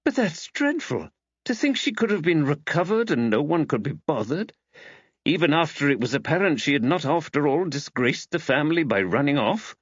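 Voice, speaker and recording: A man voicing a thoughtful, easily dismayed woman writer in earnest, expressive audiobook dialogue. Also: on the high side, moderate pace, no room sound, muffled recording, pitched above the performer's own voice.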